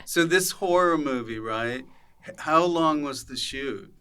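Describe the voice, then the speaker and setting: A male podcast host with a very sharp, bright voice and lively swings in pitch. He chats casually and comically, jumping in with riffs and jokes at an unhurried pace. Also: clear, resonant tone. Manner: joking, interjecting, riffing with mock voices. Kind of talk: casual comedic interview chat.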